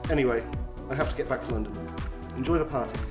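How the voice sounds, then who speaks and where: A man's voice: medium pitch, fast talker, reverberant, over music, close to an everyday voice. A man playing a polite, guarded government agent with a cool approving manner in an audio drama.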